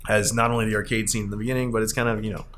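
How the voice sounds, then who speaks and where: Low-voiced man chatting over noticeable noise. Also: flat delivery, rapid-fire, fairly bright tone. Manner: chuckles often while talking. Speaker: podcast host, arcade company staff. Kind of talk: casual podcast chat.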